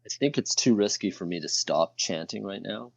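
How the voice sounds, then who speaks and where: A man playing a tabletop game, talking casually and thinking aloud in a deliberate way. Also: fairly low pitch, gravelly, dull audio.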